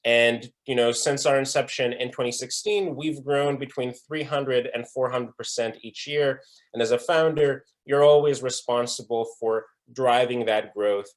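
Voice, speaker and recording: A man's voice: mid-range voice, steady tone, measured pace. A young man from Israel giving a presentation at an online breakout session, earnest, with frequent fillers and restarts. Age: young adult. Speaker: conference speaker. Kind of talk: presentation talk.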